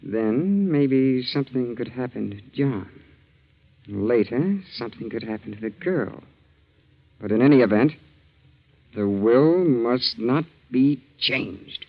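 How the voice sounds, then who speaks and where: A man's voice: mid-range voice, expressive, slow pace. An actor playing a greedy, sly young man resentfully scheming, in a 1940s radio drama. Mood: sly menace. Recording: dull, scratchy 1940s radio broadcast.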